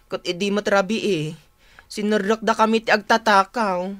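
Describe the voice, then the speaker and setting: A woman's voice: mid-range voice, steady tone, moderate pace, a bit bright, close to an everyday voice. A woman playing an exasperated younger sibling who keeps house in a rural family, in a radio drama with an Ilocano accent. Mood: distressed.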